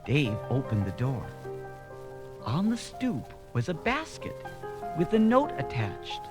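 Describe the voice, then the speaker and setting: A man's voice: medium pitch, huge pitch swings, slow. A man narrating a children's read-along story from a script. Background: music.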